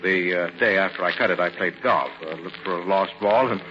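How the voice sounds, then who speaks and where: An actor playing an uneasy, evasive middle-aged professor in 1940s radio style, hesitant and stammering. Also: male voice, low voice, a little fast, lightly acted. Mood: flustered. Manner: hesitant, stammering, nervous little laughs. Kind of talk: 1940s radio drama acting. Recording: muffled, noisy 1940s radio broadcast recording.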